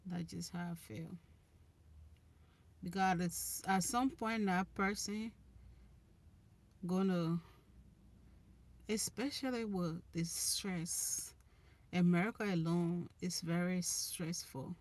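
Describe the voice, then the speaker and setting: Young woman, Liberian accent, unhurried, earnest advice, live-stream host, frequent restarts. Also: lowish voice, fairly even intonation. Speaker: relationship channel host. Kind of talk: casual live-stream chat answering viewers.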